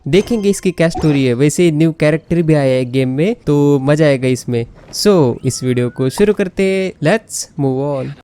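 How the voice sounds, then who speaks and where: A man's voice: on the high side, racing, few pauses, mellow, slight background noise. A man with an Indian accent giving chatty, casual let's play commentary, switching between Hindi and English. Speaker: gaming video host.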